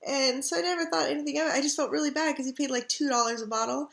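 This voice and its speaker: Middle-aged woman vlogger, raspy sore throat, slightly high pitch, loose rambling delivery, home webcam sound. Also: steady tone, racing, a bit bright. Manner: rambling, chatty, frequent ums and throat clearing. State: scratchy irritated throat. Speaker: craft vlogger. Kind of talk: casual chat to webcam. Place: living room couch at home. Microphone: computer webcam.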